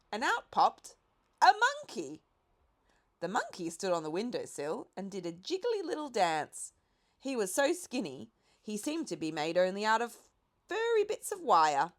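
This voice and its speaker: Expressive woman reading a children's story. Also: medium pitch, moderate pace. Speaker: audiobook reader.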